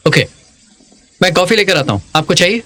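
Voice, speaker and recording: A man's voice: high-pitched, lively intonation, brisk, pushed and bright, heavily acted. A male voice actor dubbing an eager, obliging assistant in a drama. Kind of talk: dubbed drama dialogue.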